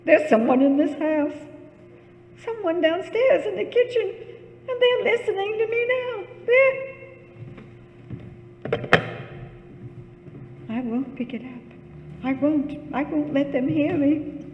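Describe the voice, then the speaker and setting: A frightened, self-pitying invalid in 1940s radio melodrama. Her voice is extremely high and slow, full of imperious, stammering fretfulness, and it rings with long reverb in an echoing hall. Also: sing-song, lo-fi sound, a little hiss or hum, heavily acted. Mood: terrified. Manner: stammering, breathless, fretful and demanding.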